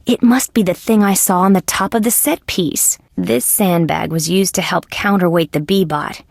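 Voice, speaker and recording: Wry, inquisitive lady detective for an adventure game: deadpan sarcasm, gravelly fry, dry close sound. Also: on the low side, normal speed, no room sound. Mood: matter-of-fact, musing. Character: female insect police detective, wry, inquisitive, level-headed. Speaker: detective character in a video game. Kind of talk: scripted adventure game voice lines.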